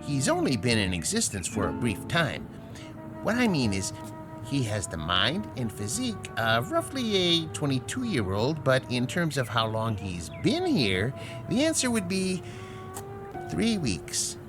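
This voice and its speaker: Slow, swooping old inventor professor. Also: male voice, mid-range voice, huge pitch swings. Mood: earnest explaining. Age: older. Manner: halting, mild, pedantic explanations. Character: elderly earnest inventor professor, proud creator of a robot. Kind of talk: radio drama acting. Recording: music behind the voice.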